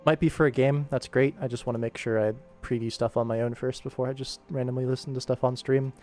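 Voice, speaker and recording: A young man with a mid-pitched voice talks casually as an animator streaming his work. He rambles, jokes and pokes fun at himself as he goes. Music plays behind him. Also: level tone, fast talker, a little mellow. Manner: rambling, self-deprecating, jokey. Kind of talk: casual livestream chat while working. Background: background music.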